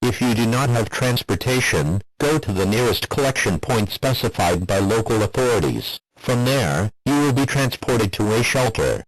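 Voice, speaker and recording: A synthetic male voice reading a scripted emergency broadcast announcement in a formal, flat manner. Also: medium pitch, moderate pace, few pauses, no room sound, rough recording. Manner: formal, flat synthetic text-to-speech delivery.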